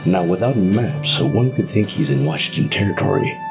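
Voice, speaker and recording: Man as deep, gravelly, domineering government boss. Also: lively intonation, over music. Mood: smug. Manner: slow, commanding, smugly assured. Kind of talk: audio drama acting.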